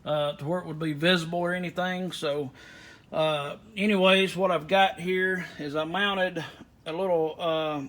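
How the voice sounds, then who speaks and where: A man's voice: high-pitched, fairly bright tone. A man giving a casual hands-on walkthrough in a folksy, rambling way. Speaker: aviation vlogger. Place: inside a small plane at an airport.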